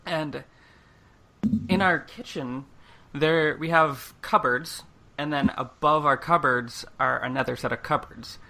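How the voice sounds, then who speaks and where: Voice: mid-range voice, unhurried, vocal fry, bright, forceful tone. A podcast host chatting casually and telling personal anecdotes in an informal, giggly way.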